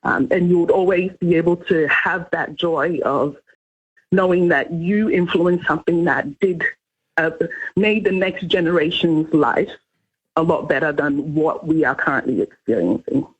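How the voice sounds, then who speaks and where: A woman from Ghana with a husky voice speaks as an interview guest on the radio. She explains things earnestly in a conversational way, and the audio is slightly dull and muffled. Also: deep voice, a little slow.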